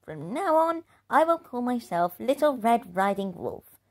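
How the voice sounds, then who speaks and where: A high, gentle little wolf cub, earnest and painfully polite, with a lilting voice that rises and falls eagerly, as a woman reading aloud puts him on. He speaks sweetly and primly, a storybook innocent. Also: big pitch swings, unhurried, full character voice. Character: polite, timid young male wolf cub.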